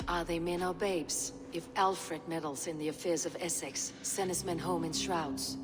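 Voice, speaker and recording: Woman acting a tough norse warrior lady in a game, kinda low voice, stoic and to the point, music behind her. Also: fairly even intonation, normal speed, natural voice. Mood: firm, blunt. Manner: terse, stoic, assured. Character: proud, blunt Norse warrior woman and advisor. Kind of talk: video game drama acting.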